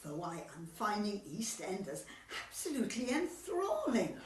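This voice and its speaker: A woman's voice: mid-range voice, huge pitch swings, normal speed, distant mic, slight background noise. A woman playing a chatty, agreeable older widow on a tennis club committee in an amateur radio comedy play. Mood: chatty, wistful. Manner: chatty, agreeable, easily diverted. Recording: echoey room, off the mic.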